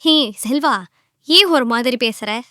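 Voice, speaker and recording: Bright, impatient young girlfriend. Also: high-pitched, expressive, measured pace, dry recording, clean studio sound, full character voice. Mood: concerned. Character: impatient young woman scolding and consoling her boyfriend. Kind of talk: animated moral-story dialogue.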